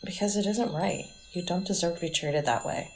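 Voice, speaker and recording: Woman acting sensible teenage girl, low creaky voice. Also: fairly quick, slight background noise, natural voice. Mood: concerned, firm. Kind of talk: audio drama acting.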